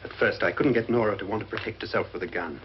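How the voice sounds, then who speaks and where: An actor playing a self-assured murder plotter in a 1950s horror film, calm and conspiratorial. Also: male voice, on the low side, fast, close to an everyday voice. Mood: smug, conspiratorial. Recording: dull, noisy old film soundtrack.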